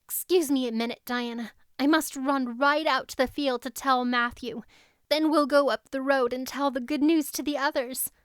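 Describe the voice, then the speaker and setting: Storybook heroine, voiced by a woman: dreamy, earnest teenage girl, high and clear. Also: fairly even intonation, moderate pace, dry recording, clean studio sound, lightly acted. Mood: dazzled, earnest.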